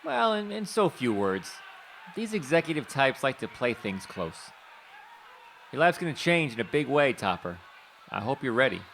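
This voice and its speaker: An actor playing a tenacious talent scout, talking fast like a salesman in an audio drama. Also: mid-range voice, very animated, a bit bright, slight background noise. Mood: persuasive. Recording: music playing under the voice.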